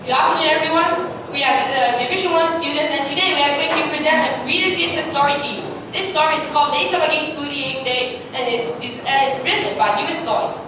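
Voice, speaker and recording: A woman's voice: high voice, fast. A young woman student narrating a scripted story to an audience as part of readers' theatre. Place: large echoey indoor hall. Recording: echoey hall, distant mic, clear noise.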